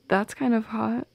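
Woman as shy goth girl for romantic ASMR roleplay. Soft, breathy, unhurried, playful and affectionate, very dry close sound. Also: on the high side, no room sound, muffled recording, full character voice. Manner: soft, breathy, often whispering, giggly, lingering pauses.

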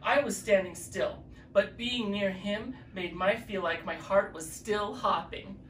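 A drag queen reading a children's story aloud in an expressive, deliberate way. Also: medium pitch. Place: living room with fireplace, indoors. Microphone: distant camera mic. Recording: echoey room, distant mic, faint noise.

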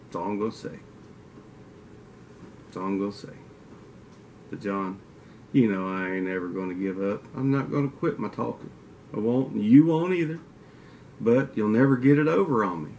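Kentucky guy with a southern drawl, lowish voice, rambly teasing vlog chat at his webcam, faint hiss behind. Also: moderate pace, long pauses, darkish tone. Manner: rambling, teasing trash talk. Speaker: outdoors channel host. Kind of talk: casual vlog talk to camera. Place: indoor den with mounted antlers. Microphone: webcam at desk.

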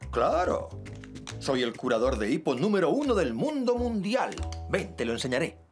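A cheerful young dad from a children's cartoon, speaking with a Castilian accent. He is playful and reassuring, swinging widely in pitch over clear background music. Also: very animated, normal speed. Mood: playfully boastful. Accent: Castilian Spanish. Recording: music behind the voice.

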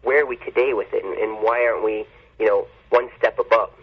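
A man's voice: fairly low pitch, fairly even intonation, fairly quick, breathy edge, phone-quality sound. A man chatting casually as a podcaster being interviewed. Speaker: podcaster guest.

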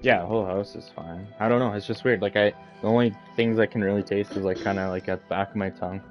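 A man streaming a game, chatting casually in a relaxed, rambling way over a headset. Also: fairly low pitch, fast, dull audio, soft background music. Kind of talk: casual gaming chat.

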